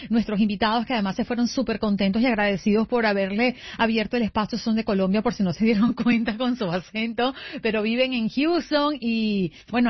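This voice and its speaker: A woman's voice: fairly high pitch, no echo, highs cut off. A woman hosting a live radio show from a studio, moving between upbeat banter and headline reading. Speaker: radio show host and news headline reader. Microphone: close studio microphone.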